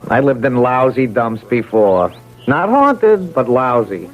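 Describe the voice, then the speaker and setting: A whiny, slangy crook for radio mystery, lively swings in tone, thin phone-like sound. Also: male voice, medium pitch, slow. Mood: grudging. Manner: brash, slangy, complaining. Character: griping, sarcastic small-time male crook. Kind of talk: 1970s radio drama acting. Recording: tinny, phone-like old radio broadcast.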